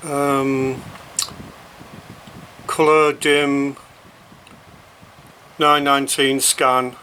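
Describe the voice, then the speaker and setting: A man giving a casual, unscripted unboxing commentary, thinking aloud in a halting, hesitant way as he reviews a product. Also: mid-range voice, level tone, bright, forceful tone, a little hiss or hum, low-quality recording.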